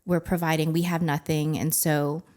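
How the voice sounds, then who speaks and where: A woman's voice: low voice, flat delivery, moderate pace, darkish tone. A woman psychotherapist whose first language is Cantonese, earnestly explaining ideas in a casual interview and often searching for words mid-sentence. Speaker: psychotherapist guest.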